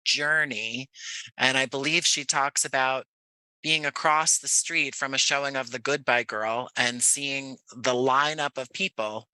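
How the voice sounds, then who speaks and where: A man's voice: medium pitch, steady tone, measured pace, sharp tone, clean tone, no echo, rough recording. An American man speaking as a podcast interview guest, choosing his words carefully and earnestly. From Jersey City, New Jersey, United States. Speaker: interview guest, playwright and actor.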